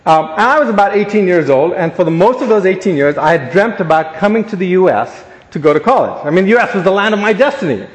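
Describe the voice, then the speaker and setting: A man with a fairly high voice tells jokes at his own expense while speaking to an audience. The sound carries the long echo of a large hall. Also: lively intonation, quick pace, few pauses. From born in Ghana, grew up in Africa, Asia and the Caribbean. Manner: self-deprecating, joking, storytelling. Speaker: guest speaker. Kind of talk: humorous speech to an audience. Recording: echoey hall.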